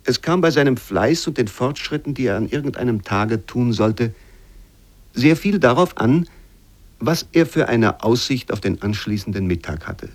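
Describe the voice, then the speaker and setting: A male narrator delivers scripted literary prose at a mid-range pitch. His reading is formal and measured, with expressive emphasis suited to a radio feature.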